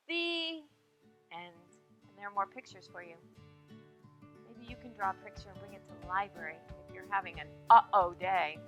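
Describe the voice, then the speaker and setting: A woman hosting a storytime for young children, reading aloud in a sing-song way with drawn-out, emphasized phrases and simple prompts to her listeners. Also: mid-range voice, a bit bright. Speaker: children's librarian and storytime host.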